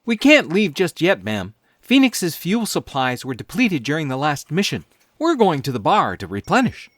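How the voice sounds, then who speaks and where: A man's voice: on the high side, huge pitch swings, moderate pace, fairly bright tone, dry recording, clean studio sound, full character voice. A man playing a skeptical, earnest space detective in a comedic audio drama. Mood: matter-of-fact.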